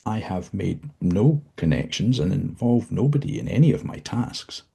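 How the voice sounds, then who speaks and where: A man's voice: lowish voice, expressive, vocal fry, mellow, breathy edge, voice pushed up, heavily acted. A man voicing a frightened young female fallen angel who pleads and stammers. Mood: pleading. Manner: begging, halting and breathless.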